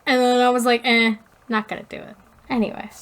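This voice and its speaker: A woman co-hosting a podcast in casual banter, talking in a giggly, expressive, rambling way. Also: a little high, a little fast. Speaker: podcast co-host.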